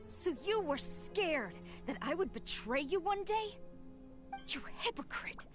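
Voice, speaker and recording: A stern young game general, firm and righteous, a bit high. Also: female voice, fairly bright tone. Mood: accusing. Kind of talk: video game voice acting.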